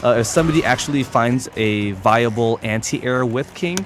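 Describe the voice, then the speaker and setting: A man's voice: medium pitch, normal speed, background noise. A man giving an unscripted fighting game tutorial in a casual, explanatory and somewhat hesitant way. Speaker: competitive gamer demonstrating a character.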